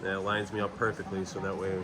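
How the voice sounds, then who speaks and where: A man's voice: low voice, completely flat delivery, a little fast, crowd noise. A man talking casually and thinking aloud as he narrates a hands-on DIY mechanical job in an informal way.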